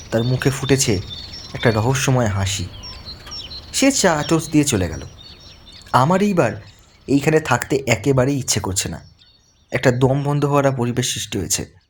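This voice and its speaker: A man's voice: a little high, slight background noise. A man playing a frightened young man who tells a horror story in dramatic, suspenseful first-person narration. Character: frightened young man recounting his haunted-house visit. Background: music.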